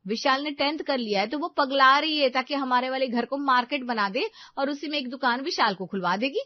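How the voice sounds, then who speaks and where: A woman's voice: high-pitched, brisk, dry recording, muffled recording, pitched above the performer's own voice, natural voice. A woman voicing a bossy, resentful young sister-in-law in a story, scolding and emphatic.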